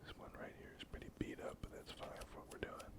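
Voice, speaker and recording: A person whispering in a breathy, unvoiced voice, narrating a hands-on craft step by step in a gentle, unhurried ASMR style. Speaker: host of a miniature painting ASMR channel. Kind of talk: ASMR, whispered painting commentary.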